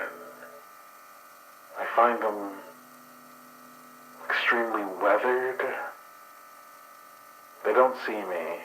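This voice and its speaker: A man's voice: lowish voice, bright, forceful tone, reverberant, slight background noise, heavily acted, rough recording. A man voicing a crude, irritable man with skunk traits in an actual play podcast, talking in a profane, sardonic way.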